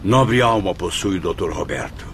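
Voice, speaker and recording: An actor playing a pensive, sympathetic gentleman spirit in a radio drama, speaking formally, with wondering hesitations. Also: low voice, moderate pace, creaky, slight background noise. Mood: curious.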